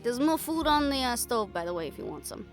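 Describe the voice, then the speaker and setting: Woman as wry, bossy-but-loving girlfriend, highish voice, casual and slangy, intimate audio roleplay over music. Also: sing-song, brisk. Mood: teasing, fond. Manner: casual, teasing, slangy, swearing. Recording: music behind the voice.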